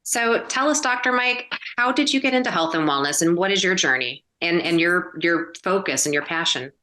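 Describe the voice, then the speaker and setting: A woman's voice: lowish voice, lively intonation, normal speed, sharp tone. A woman hosting a health podcast, asking a guest questions in a conversational way. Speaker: podcast host, interviewer. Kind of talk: conversational interviewing.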